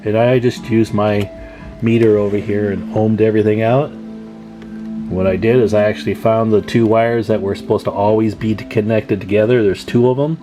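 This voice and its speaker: Lowish voice guy doing a casual hobby how-to, folksy, guitar music going behind him. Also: fairly even intonation, moderate pace. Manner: informal, folksy, addressing viewers as guys. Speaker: radio repair hobbyist and video host. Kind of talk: casual hands-on demonstration chat. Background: plucked guitar music.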